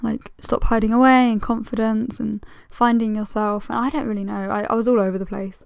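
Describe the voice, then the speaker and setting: A woman's voice: fairly high pitch, level tone, fairly quick, vocal fry, clear, resonant tone, no room sound, studio quality. A woman in her twenties with a slightly strained voice, giving a chatty, self-deprecating, rambling commentary as a casual video host. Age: 20s. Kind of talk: casual sketchbook tour commentary.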